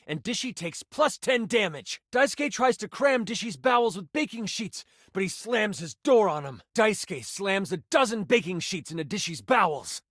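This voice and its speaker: An actor playing an excitable, theatrical nerdy game master in a video game, moving between grand narration and casual asides. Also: male voice, sits high, no echo, studio quality. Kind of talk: video game character voice lines, dramatic tabletop narration.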